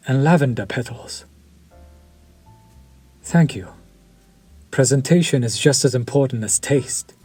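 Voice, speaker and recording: Vampire chef, played by a man: unhurried, husky, formal and seductive, over faint music. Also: medium pitch, a little mellow. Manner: polished, formal and flirtatious, lingering over words. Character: suave vampire chef, courteous, quietly predatory. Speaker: voice actor in audio roleplay. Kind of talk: scripted audio roleplay.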